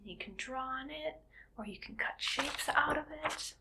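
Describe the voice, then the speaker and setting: A woman playing a quirky, friendly art teacher in a soft, whispered ASMR roleplay, speaking in a gentle, unhurried murmur. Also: high-pitched, very noisy. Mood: soft, encouraging. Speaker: ASMR performer.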